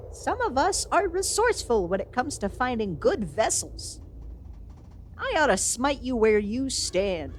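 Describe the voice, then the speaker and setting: A woman's voice: sits high, a bit bright, a little hiss or hum, full character voice. A woman playing a haughty, easily affronted evil sorceress in an ASMR fantasy roleplay, imperious and melodramatic. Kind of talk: ASMR audio roleplay acting.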